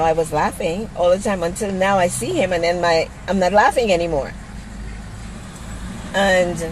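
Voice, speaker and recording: A middle-aged woman, ranting casually to a handheld camera in a blunt, exasperated, salty-tongued way from inside a car. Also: mid-range voice. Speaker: vlogger. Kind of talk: casual first-person rant to camera. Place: inside a parked car by a busy street. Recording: noisy car interior with street traffic.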